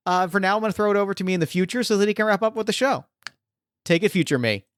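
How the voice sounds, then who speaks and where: Male podcast host and game master, rambly and full of filler words, smooth voice, dry close sound. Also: sits high, expressive, rapid-fire, no echo. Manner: rambling, heavy use of "like" fillers. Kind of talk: casual post-game chat.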